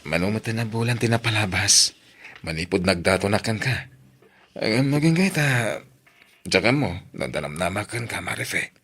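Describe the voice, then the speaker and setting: An actor playing an earnest, lovestruck suitor in a romantic audio drama, speaking softly and pleadingly. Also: male voice, lowish voice, animated, normal speed, soft background music. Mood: tender, pleading.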